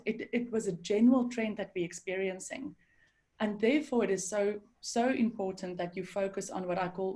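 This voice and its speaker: A woman with a husky voice from hay fever, a lively panelist speaking over a video call. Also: medium pitch. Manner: lively, with a smile in her voice. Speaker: people management panelist.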